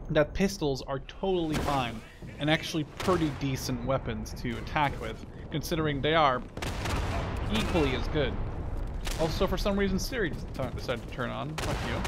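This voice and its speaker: Male gamer, 20s, loose profane play-by-play, slightly high voice, gunfire noise behind. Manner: rambling, casually profane. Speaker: gaming video creator. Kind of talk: casual gameplay commentary. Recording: game gunfire and artillery noise. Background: shooter game gunfire and explosions.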